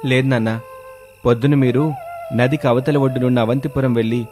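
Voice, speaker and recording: A man's voice: mid-range voice, fairly even intonation, fairly quick, darkish tone, faint music behind, natural voice. A man playing a dutiful elder son who speaks plainly and respectfully in a storybook tale.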